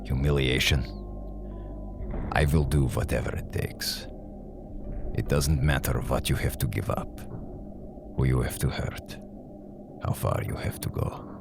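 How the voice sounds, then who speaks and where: An actor playing a cold, ruthless older scientist in an audio drama, speaking formally and deliberately in a gravelly voice. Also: male voice, steady tone, exaggerated voice. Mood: strained, defiant. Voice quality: very low, gravelly. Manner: formal, deliberate, clipped phrasing without contractions. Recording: loud music under the voice. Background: dramatic music.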